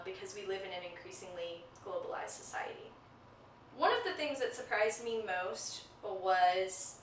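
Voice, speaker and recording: A woman's voice: medium pitch, fairly bright tone, echoey room, recorded from a distance, slight background noise, low-quality recording. An American woman in her twenties, a university student, talking earnestly and reflectively in an interview, with frequent ums and likes. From United States. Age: 20s.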